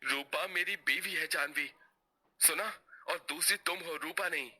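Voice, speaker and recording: An earnest young husband acted by a man speaks in a cartoon melodrama. His voice is high for a male and very bright. He sounds guilt-ridden. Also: a little slow, exaggerated voice. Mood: firm. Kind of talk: dramatic cartoon storytelling.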